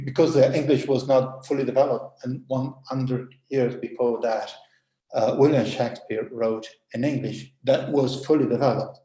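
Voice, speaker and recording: A man's voice: mid-range voice, dark tone, reverberant, poor audio. A man whose native language is Italian, giving a hesitant conference talk on an online panel, with many fillers and restarts. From Italy.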